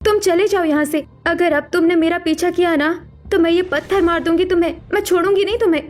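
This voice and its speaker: A woman's voice: very high-pitched, fast, a little mellow. A woman voicing a hurt, defensive young woman in a cartoon story, emotional and distrustful. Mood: defensive, angry. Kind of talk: Hindi cartoon story voice acting. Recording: music behind the voice.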